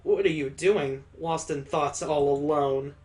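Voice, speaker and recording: A man's voice: on the high side, fairly even intonation, measured pace, darkish tone, close to an everyday voice. A man voicing a playful, affectionate young woman who teases in a visual novel. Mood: gently teasing. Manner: teasing.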